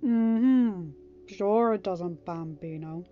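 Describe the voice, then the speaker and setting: A woman's voice: mid-range voice, extremely slow, highs cut off. A woman voicing an affectionate, fatherly man in an audiobook story, casual, teasing and reassuring.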